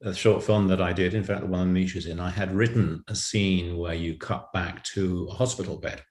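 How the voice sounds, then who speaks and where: A man with a very low voice talks as an interview guest in an echoey room. He explains his creative work through anecdotes, in a conversational storytelling way. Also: steady tone, normal speed, darkish tone. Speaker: writer and director, interview guest.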